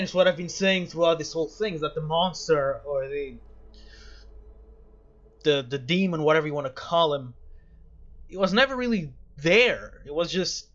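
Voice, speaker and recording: A man's voice: fairly high pitch, fairly bright tone, lo-fi sound. A man hosting a casual game playthrough, talking reflectively and often repeating his phrases as he goes. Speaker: gaming video host.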